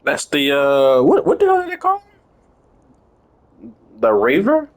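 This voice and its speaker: A man's voice: medium pitch, wildly swooping pitch, unhurried. A man giving casual, slangy game commentary as a streamer, irreverent and profane. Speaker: gameplay streamer. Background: faint game music.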